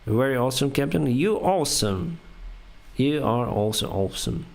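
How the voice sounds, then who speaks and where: A young man whose first language is Russian, speaking English haltingly with many fillers. He reads viewer questions aloud and answers them on a casual live stream. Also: mid-range voice, lively intonation, gravelly, a little mellow. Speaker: airline pilot streamer answering chat.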